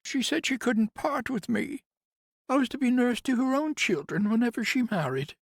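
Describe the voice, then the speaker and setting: A man's voice: extremely high pitch, lively intonation, moderate pace, gravelly, no room sound, clean studio sound, voice pushed up, heavily acted. A man voicing a grieving woman in her fifties, an old family nurse, in a tearful, wavering voice for an audiobook. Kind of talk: audiobook character voice.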